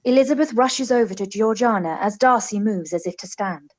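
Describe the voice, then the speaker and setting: A woman's voice: mid-range voice, brisk. A woman reading scripted stage directions as the narrator of a radio play.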